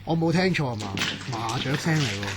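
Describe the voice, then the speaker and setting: A man's voice: medium pitch, expressive, moderate pace, noisy background, close to an everyday voice. A man playing a cocky, impatient young student in a Cantonese radio drama, with a Hong Kong accent and a scoffing manner. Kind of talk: radio horror drama acting.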